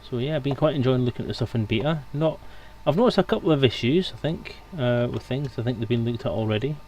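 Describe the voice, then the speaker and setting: A man's voice: medium pitch, fast, darkish tone, a little hiss or hum. A man hosting a live stream in casual, unscripted, rambling chat.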